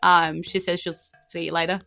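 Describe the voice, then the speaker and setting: A woman with a New Zealand accent and a bright, forward voice runs a tabletop roleplaying game. She narrates casually and chattily, prompting her players with questions. Faint music plays behind her. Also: lowish voice, level tone, a little fast, vocal fry. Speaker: game master.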